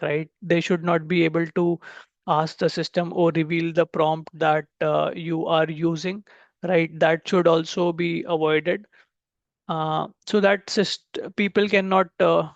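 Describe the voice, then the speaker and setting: A man giving a conference talk on testing AI systems. He pauses on "uh" and corrects himself, and ends nearly every point with a checking "right?". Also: on the high side, vocal fry, dry recording, dull audio. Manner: frequent "uh" fillers and self-corrections. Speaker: conference speaker.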